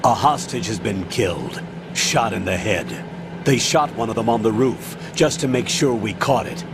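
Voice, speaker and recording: A stern military colonel in a video game, giving clipped, authoritative orders. Steady noise hums beneath his lines. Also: fairly low pitch, moderate pace, vocal fry. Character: commanding older male colonel on the radio. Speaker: mission commander. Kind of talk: video game cutscene voice acting.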